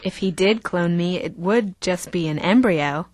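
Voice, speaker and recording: A woman's voice: on the low side, a little slow, a little mellow, no echo, lightly acted. An actress playing a chatty, self-assured female talk show co-host in an audio drama. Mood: wry, chatty.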